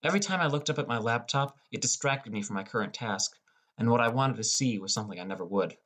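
A young man reading a scripted first-person audiobook as a depressed high school boy. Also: lively intonation, fast talker, fairly bright tone. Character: depressed, irritable high school boy telling his story. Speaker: audiobook narrator.

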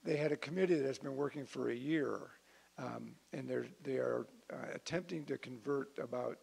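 A man speaking informally as a council member at a meeting, with a hesitant, halting delivery. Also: mid-range voice, gravelly, darkish tone, husky, rough recording. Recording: hissy meeting-room audio.

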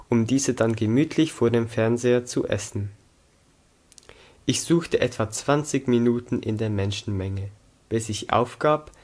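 A sixteen-year-old young man from Switzerland, reading a scripted story aloud in a careful, measured manner. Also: medium pitch, darkish tone. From Zug, Switzerland. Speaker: student reading own story. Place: small indoor room against a plain wall.

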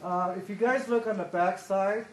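Loud upbeat man in echoey room. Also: high-pitched, fairly even intonation. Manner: loud, upbeat, halting with many fillers. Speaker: committee liaison giving a report. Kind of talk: public report at a board meeting. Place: large conference room. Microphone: table microphone. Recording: echoey room, some background noise.

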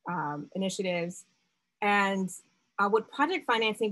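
A woman startup founder presenting a seminar talk over slides, measured and full of fillers and restarts. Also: on the low side, fairly bright tone, poor audio.

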